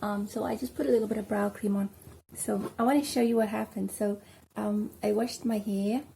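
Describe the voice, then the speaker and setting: A South African woman chatting casually at home while doing her hair, rambling and filling pauses with ums. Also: medium pitch, fairly even intonation, gravelly, dark tone, a little hiss or hum, low-quality recording. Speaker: vlogger. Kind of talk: casual hair tutorial chat.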